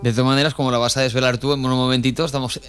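A man's voice: medium pitch, level tone, very fast. A man with an accent from Spain hosting a radio show, chatting casually with frequent tag questions and sometimes reading scripted narration. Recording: music under the voice. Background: music bed.

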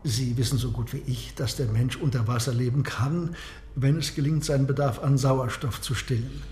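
A man's voice: mid-range voice, steady tone, a little mellow, a little hiss or hum, natural voice. Man playing Captain Nemo, reserved, in an echoing room. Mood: proud, composed. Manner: measured, explanatory. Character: reserved, proud male submarine captain and inventor. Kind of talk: radio drama dialogue. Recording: roomy, echoing interior sound.

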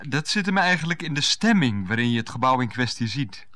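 Radio play: cornered husband, evasive and hesitant, mid-pitched with wide swings. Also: male voice, sing-song, brisk, sharp tone. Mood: evasive, pompous. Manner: hesitant, evasive, mock-earnest explaining. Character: evasive, flustered husband juggling wife and lover.

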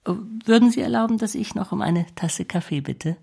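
An actor playing a fawning, falsely humble, scheming clerk in a German radio drama, with servile, self-abasing manners and a raspy voice. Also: male voice, high voice, expressive, brisk, warm tone, slight background noise. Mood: fawning gratitude. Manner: servile, obsequious, gushing with self-abasement.

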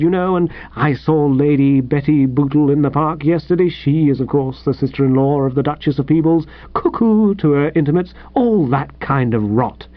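A man voicing a jovial, gushing, patronising young English gentleman editor for an audiobook. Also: on the high side, dry recording, dull audio, lightly acted. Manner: gushing, breezy, chummy. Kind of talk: audiobook character voice.

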